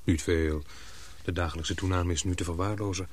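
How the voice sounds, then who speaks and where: A man's voice: low-pitched, steady tone, brisk. A man playing a dutiful young English fiancé in a radio drama, thoughtful and restrained. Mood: tender, reflective.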